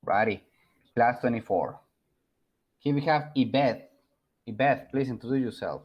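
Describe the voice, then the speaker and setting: A man teaching an online English class, taking roll with relaxed, encouraging remarks. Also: medium pitch, a little slow, slightly rough, highs cut off. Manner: relaxed, encouraging, switches into Spanish.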